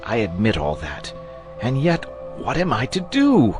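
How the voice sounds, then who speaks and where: A man performs a frightened country shepherd for a children's storybook. His delivery is rustic and exclamatory, and his pitch leaps and swoops well upward as he frets. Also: huge pitch swings, unhurried, faint music behind. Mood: agitated, wondering. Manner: rustic dialect grammar, exclamatory. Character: agitated, superstitious rustic shepherd, a frightened father.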